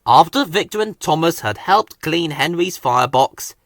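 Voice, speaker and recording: A man narrating a children's story in an expressive read-aloud style. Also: on the high side, moderate pace, no room sound, clean studio sound.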